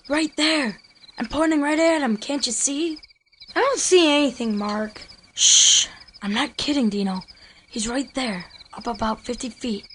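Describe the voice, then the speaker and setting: A woman voicing an excitable, bickering ten-year-old boy in a slangy audio drama about a childhood scare. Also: high voice, slight background noise. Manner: slangy, exclamatory, boyish. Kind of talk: audio drama dialogue, nostalgic childhood horror story.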